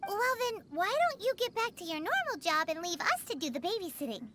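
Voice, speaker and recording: A woman voicing a tiny, excitable, easily indignant childlike fairy companion in a video game, with a squeaky voice. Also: fairly quick, noisy background. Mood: dismissive. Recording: game music behind.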